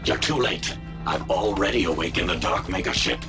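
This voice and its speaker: A man's voice: low voice, expressive, normal speed, vocal fry. A man voicing a grandiose, power-hungry video game villain, theatrical, mocking and menacing. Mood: gloating. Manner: theatrical, gloating. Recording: loud music under the voice.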